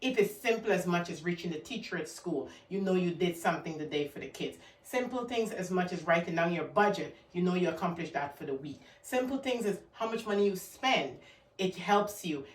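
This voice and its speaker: A woman's voice: lowish voice, animated, brisk, roomy sound, recorded from a distance, low-quality recording. A woman with a Guyanese accent giving an emphatic motivational talk, repeating her key words for stress. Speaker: motivational vlogger.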